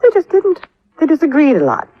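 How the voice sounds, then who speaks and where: An American actress playing a cool, unsentimental widow in a 1950s radio crime drama, composed, curt and evasive. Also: female voice, high-pitched, huge pitch swings, fairly quick. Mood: defiant, irritated. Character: cool, unsentimental young-sounding widow, defiant under questioning. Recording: muffled vintage radio recording.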